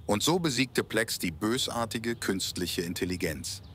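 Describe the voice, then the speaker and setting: A man's voice: fairly low pitch, animated, unhurried, a bit bright, slight background noise. A man narrating an audio play in a scripted storytelling style.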